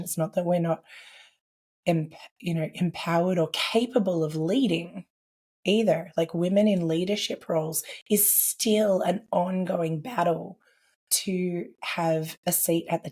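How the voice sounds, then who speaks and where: Woman, low and hoarse from laryngitis, earnest reflective podcast guest. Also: darkish tone. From Australia. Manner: earnest, reflective, with frequent "like" and "I guess". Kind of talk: conversational podcast interview.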